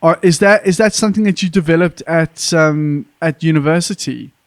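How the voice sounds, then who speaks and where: A man's voice: fairly high pitch, moderate pace. A man hosting a podcast, asking questions in a chatty way and joking at his own expense. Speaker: podcast host. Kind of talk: casual podcast interview.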